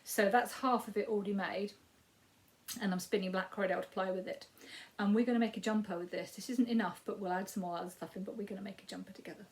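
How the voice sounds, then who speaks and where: A woman in her fifties with a Yorkshire accent and a croaky voice, giving a rambling, casual crafting update with frequent ums. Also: mid-range voice, steady tone, quick pace. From Yorkshire, England. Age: 50s. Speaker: crafting podcast host.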